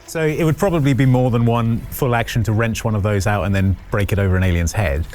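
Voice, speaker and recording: A man's voice: lowish voice, quick pace, a little mellow, faint music behind. A man playing a tabletop roleplaying game, bantering casually in a wry, playful way.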